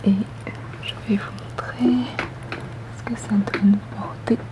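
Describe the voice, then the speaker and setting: A woman whispering softly and breathily in an ASMR roleplay as a polite, attentive boutique saleswoman, gentle and unhurried. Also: mid-range voice, fairly even intonation. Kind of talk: ASMR roleplay, customer service.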